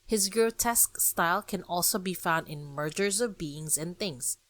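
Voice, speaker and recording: A young woman hosting a podcast, chatting with warm enthusiasm and lifting her voice for emphasis. Her voice sits a touch low. The sound is close and dry, with no room around it. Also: normal speed, no echo. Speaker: podcast host. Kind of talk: casual podcast discussion.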